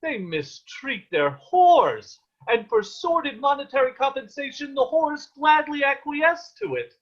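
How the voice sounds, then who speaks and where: Man acting a slow-speaking, anguished peasant father. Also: extremely high pitch, expressive. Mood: scolding, disgusted. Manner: ornate old-fashioned diction. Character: stern, anguished, protective peasant father of Joan of Arc. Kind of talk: dramatic table read of a period stage play. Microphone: video call. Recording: dull, lo-fi online call.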